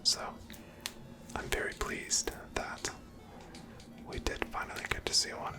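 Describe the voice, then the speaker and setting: A person whispering softly and breathily, giving gentle, casual ASMR commentary while playing a video game. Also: a little slow, music in the background. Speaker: host of a gameplay series.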